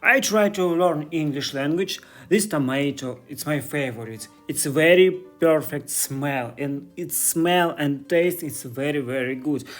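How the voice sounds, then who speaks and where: Man in his thirties, Russian accent, curious and chatty in broken English, slightly high voice, phone-vlogging in stores. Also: measured pace, few pauses, fairly bright tone, soft background music. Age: 30s. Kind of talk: casual walking vlog. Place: stores in the city.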